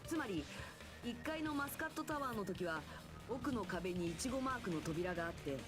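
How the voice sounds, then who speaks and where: Woman as rapid, earnest teenage boy detective. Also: mid-range voice, animated, over music, close to an everyday voice. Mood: earnest deduction. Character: earnest teenage boy reasoning through a mystery. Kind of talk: Japanese game voice acting.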